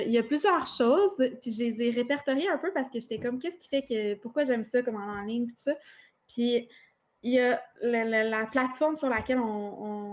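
Quebec woman guest on a podcast, chatty, slightly high voice, muffled audio. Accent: Quebec French. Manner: chatty, frequent fillers and restarts. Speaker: podcast guest, marketing director. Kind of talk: casual interview chat.

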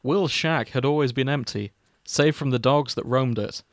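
A man's voice: medium pitch, normal speed, no echo, studio quality. A man hosting a podcast, switching between casual banter and comic read-aloud narration. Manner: breaks into chuckles while reading. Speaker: host and reader of a fan fiction podcast.

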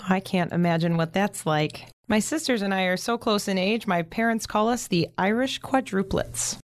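A woman's voice: medium pitch, moderate pace, natural voice. A woman in an audio drama playing a shy, kindly teenage girl, speaking politely and tentatively. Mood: curious, polite.

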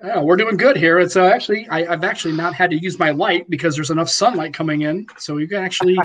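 A man's voice: a little high, quick pace, a crowd in the background. A man hosting a casual podcast, welcoming a guest and asking rambling questions with many restarts. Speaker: podcast host. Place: home room lit by daylight.

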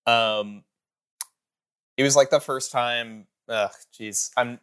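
A man's voice: medium pitch, fairly even intonation, takes it slowly, fairly bright tone, clear, resonant tone. A man, co-hosting a casual podcast in a dry, precise way. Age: 20s. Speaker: podcast co-host.

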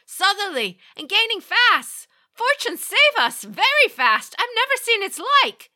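A woman doing a frantic, yelling ship lookout. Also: very high voice, very animated, unhurried, voice pushed up, full character voice. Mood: panicked. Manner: frantic shouting. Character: panicked male ship lookout sailor.

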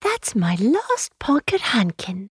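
A woman's voice: on the high side, huge pitch swings, slow, breathy edge, no room sound, clean studio sound, voice pushed up, exaggerated voice. A woman voicing a curious little girl in a storybook, inquisitive and childlike.

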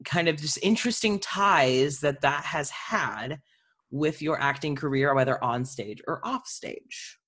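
A young adult interview host with a medium-pitched voice talks at a very slow pace. The delivery is informal and conversational, like a chat between friends. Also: fairly bright tone.

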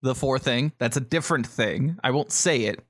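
A man with an average-pitched voice co-hosts a podcast. He chats casually, explains points and prompts his co-host, checking in often in a conversational way. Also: moderate pace, dry recording, studio quality.

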